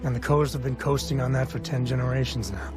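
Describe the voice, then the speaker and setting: An actor playing a wry, world-weary space cowboy in a video game, laconic and folksy. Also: male voice, mid-range voice, fairly even intonation, quick pace, over music, natural voice. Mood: reflective, wry.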